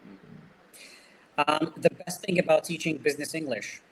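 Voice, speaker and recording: A man's voice: on the high side, a little slow, vocal fry, crowd noise, rough recording. A man putting questions in a casual interview. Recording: faint background noise.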